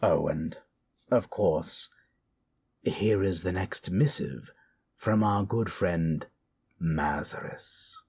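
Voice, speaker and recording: A man playing a gentle, brooding teller of spooky tales in a slow, hushed, dramatic manner over music. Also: low-pitched, vocal fry, husky, heavily acted. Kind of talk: in-character horror storytelling.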